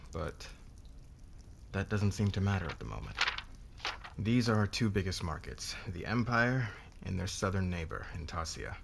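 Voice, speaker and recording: A man playing a haughty, domineering businessman boss in an intimate ASMR roleplay, speaking in a measured, condescending way. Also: low-pitched, vocal fry, slight background noise.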